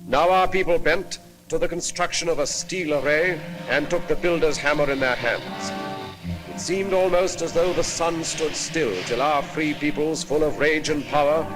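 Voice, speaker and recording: A man's voice: a little high, poor audio. A man reading a scripted 1940s wartime documentary narration in solemn, grandiloquent, poetic phrases. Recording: 1940s film soundtrack with music behind.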